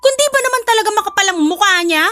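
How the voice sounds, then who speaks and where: A woman's voice: very high voice, expressive, rapid-fire, fairly bright tone, soft background music. A woman playing a blunt, protective best friend with a sassy, scolding manner in an audio drama. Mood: indignant.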